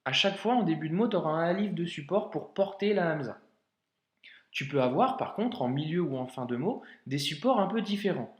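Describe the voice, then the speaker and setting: French male tutor, slightly high-pitched, relaxed and encouraging, recorded with room echo. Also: a little fast. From France. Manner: casual, reassuring, frequent "hein" and "d'accord". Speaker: Arabic reading teacher. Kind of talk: friendly tutorial speaking directly to the learner.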